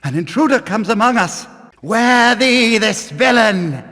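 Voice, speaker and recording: An actor playing a stern, pious temple guard in a fantasy game, declaiming in archaic English. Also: male voice, sits high, lively intonation, unhurried, bright, forceful tone, full character voice. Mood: alarmed, rallying. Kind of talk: scripted fantasy video game voice acting. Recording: long reverberant hall echo.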